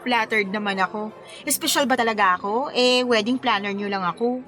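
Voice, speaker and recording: An actress playing a coy, smitten forty-year-old wedding planner who playfully protests in a romantic radio drama. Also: female voice, fairly high pitch, brisk, a bit bright, faint music behind, natural voice.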